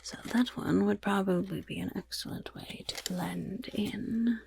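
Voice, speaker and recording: A woman voicing a friendly female goblin rogue in an ASMR fantasy roleplay, with a breathy, raspy whisper and gently encouraging, genteel phrasing. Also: mid-range voice, steady tone, measured pace. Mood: admiring, musing.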